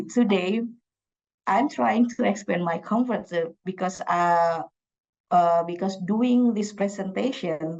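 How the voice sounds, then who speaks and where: Indonesian accent woman, young phd student doing a conference talk, mid pitch, restarts a lot, kinda dull recording. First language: Javanese. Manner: hesitant, frequent fillers and restarts. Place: conference room.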